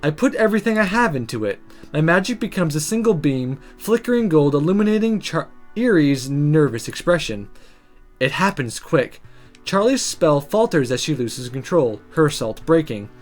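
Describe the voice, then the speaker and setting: Expressive man narrating, high animated voice. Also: moderate pace, soft background music. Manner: expressive, story-telling. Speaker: visual novel player and narrator. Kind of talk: dramatic read-aloud narration of game text.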